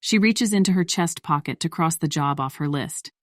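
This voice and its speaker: A woman's voice: low-pitched, moderate pace, dry recording, studio quality. A woman reading scripted story narration in the role of narrator.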